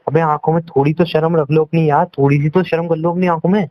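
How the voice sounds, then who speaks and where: Dull call recording of a high-pitched, scolding young man. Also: steady tone, fast, no room sound. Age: young adult. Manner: accusing, scolding, repetitive. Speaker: boyfriend on a phone call. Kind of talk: heated relationship argument in casual Hindi-English talk. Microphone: phone call.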